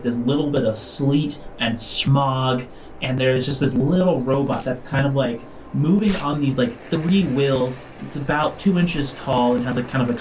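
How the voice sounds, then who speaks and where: A man's voice: mid-range voice, a little mellow, slight background noise, low-quality recording. A man, chatty and informal as he hosts and narrates a tabletop role-playing podcast. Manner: chatty, informal, addressing listeners directly. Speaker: host and game master. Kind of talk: casual podcast talk and tabletop game narration.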